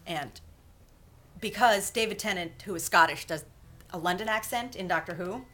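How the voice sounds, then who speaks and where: A woman's voice: medium pitch, moderate pace, fairly bright tone. An American woman chatting casually in a self-deprecating way. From United States. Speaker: podfic maker and fan writer. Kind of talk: casual chat.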